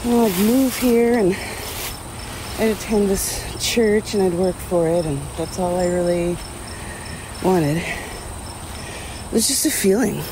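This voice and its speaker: A woman playing a quiet, lonely middle-aged churchgoer who recounts her past haltingly and plainly, as in a documentary interview. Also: medium pitch, noisy background, natural voice. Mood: wistful. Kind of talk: audio drama mock-documentary interview.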